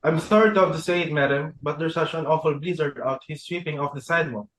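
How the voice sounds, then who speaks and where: Young man reading a butler, somewhat high voice, polite, formal, deferential. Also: quick pace, fairly bright tone, lightly acted. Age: young adult. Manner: polite, formal, addresses madam. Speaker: reader for Dunham. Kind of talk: student dramatic reading.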